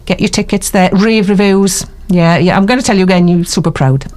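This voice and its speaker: A woman's voice: medium pitch, brisk, a little mellow. A woman presenting a radio show, chatting and teasing her guest in a casual interview, laughing often.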